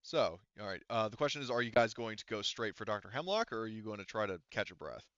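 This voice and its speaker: A man's voice: on the low side, very animated, fast talker, a bit bright, dry recording, dull audio. A man speaking casually as a game master in a tabletop roleplaying game, thinking aloud and swearing now and then.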